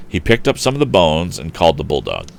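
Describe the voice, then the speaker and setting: A man reading an adventure story aloud in an expressive storyteller's style. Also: extremely low pitch, quick pace, vocal fry, slight background noise. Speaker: storyteller, livestream host.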